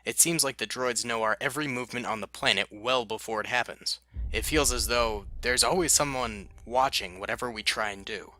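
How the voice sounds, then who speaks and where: A man's voice: mid-range voice, a little fast, bright, forceful tone, natural voice. A man voicing a veteran male clone captain who reports in a formal, urgent way. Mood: dutiful.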